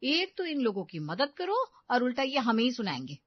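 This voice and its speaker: A woman's voice: a little high, big pitch swings, moderate pace, no echo, lo-fi sound. A woman voicing a cheeky young daughter-in-law who justifies herself huffily in a cartoon family comedy. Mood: defensive. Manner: self-justifying, huffy.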